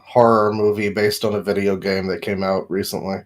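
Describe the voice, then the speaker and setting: A man's voice: lowish voice, little pitch movement, fairly quick. A man co-hosting a casual podcast, chatting in a wry, sweary way. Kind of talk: casual group chat.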